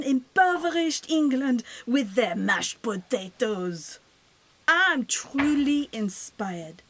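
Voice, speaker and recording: A woman's voice: high voice, slight background noise. A woman playing a snobbish French fashion designer in a radio play, with a French accent and a theatrical, dramatic delivery. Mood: wondering, humbled.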